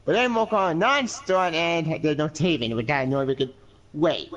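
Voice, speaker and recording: A man's voice: on the high side, moderate pace, fairly bright tone, lo-fi sound. A man in a casual chat over a remote call, rambling and restarting as he thinks aloud about game lore. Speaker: podcast co-host.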